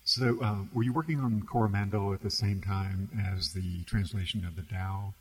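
Very deep, slow, husky male interviewer. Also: fairly even intonation, poor audio. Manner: slow, measured, affirming with frequent murmurs. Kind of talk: interview questions.

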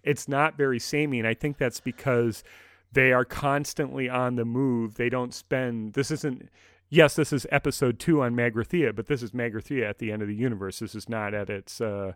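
Male podcast host, medium pitch, measured conversational delivery with pauses, very little room sound. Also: fairly even intonation, fairly bright tone, dry recording, clean studio sound. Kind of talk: podcast discussion.

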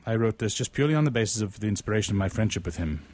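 A man hosting a radio show, talking casually and somewhat haltingly, often repeating words as he goes. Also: on the low side, lively intonation, a little mellow, dry recording. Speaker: radio show host and writer-performer. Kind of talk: casual on-air conversation.

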